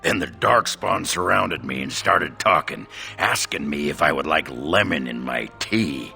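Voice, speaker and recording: A gruff male dwarf warrior for a fantasy game. The voice is extremely deep and thick with gravel, slow and crude, swinging widely as he rambles bluntly. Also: expressive, sharp tone, soft background music, full character voice. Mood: gruff, uneasy. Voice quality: gravelly, growling. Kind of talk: video game voice acting.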